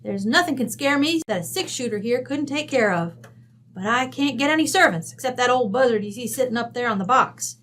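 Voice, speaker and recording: A woman's voice: fairly high pitch, expressive, brisk, fairly bright tone. A woman playing a brash, folksy, middle-aged rancher widow with an American West accent, blunt, bossy and slangy, in an old-time radio drama. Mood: matter-of-fact.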